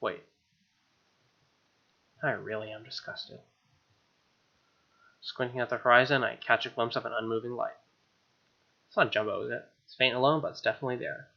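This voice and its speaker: A man's voice: medium pitch, fairly even intonation, moderate pace, vocal fry, long pauses, lo-fi sound. A man with an American accent reading a visual novel aloud as a gaming host, with casual asides. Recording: game music behind.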